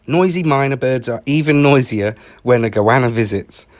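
A man reading a picture book aloud in an expressive, animated manner, acting out the exclamations. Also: medium pitch, normal speed, lo-fi sound. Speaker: library staff story reader.

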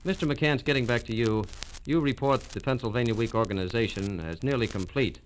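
A man's voice: mid-range voice, fairly quick. A man working as a radio interviewer, speaking in a formal scripted style. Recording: noisy, clicking 1940s radio transcription disc.

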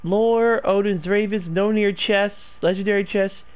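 A man's voice: high voice, steady tone, measured pace, clear, resonant tone. A man giving rambling, casual commentary while playing a game. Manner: rambling, talks to himself. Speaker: gameplay streamer.

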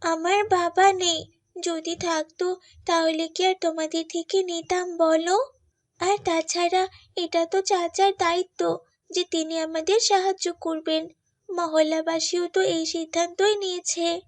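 Woman voicing a high-pitched, earnest poor young girl. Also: level tone, measured pace. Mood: pleading. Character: poor, gentle, pious young girl, pleading and patient. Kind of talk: Bengali moral cartoon voice acting.

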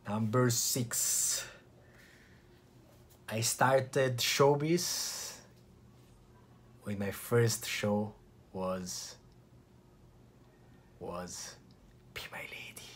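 A young man with an Italian accent, from Italy, chatting to camera in a casual vlog with relaxed restarts and short pauses. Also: on the low side, slightly rough. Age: young adult. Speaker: vlogger.

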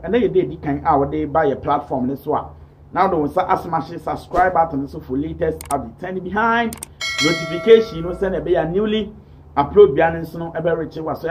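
A man's voice: a little high, a little hiss or hum. A man in his thirties with a Ghanaian accent, hosting gossip commentary to camera in an emphatic, repetitive way. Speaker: online show host. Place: small indoor home studio.

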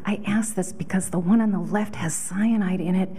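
A woman playing a harried, casually sinister deputy mayor mom in a deadpan, matter-of-fact comedy monologue. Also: mid-range voice, moderate pace, slightly rough, voice pushed down, natural voice. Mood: harried, lively. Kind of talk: audition comedy monologue read. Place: hotel conference room, at a standing mic.

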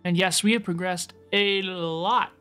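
A woman's voice: fairly low pitch, fairly even intonation, unhurried, fairly bright tone, clear, resonant tone, faint music behind. A woman streaming a game, chatting casually and slangily with viewers and often repeating her phrases. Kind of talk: casual livestream chat over gameplay.

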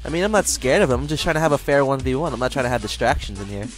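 A young man trolling an online opponent over a headset, with sarcastic, deadpan mockery and sudden laughter. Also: mid-range voice, very fast, faint music behind, rough recording. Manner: mocking, sarcastic, deadpan jokes, bursts of laughter. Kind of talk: taunting party chat over gameplay.

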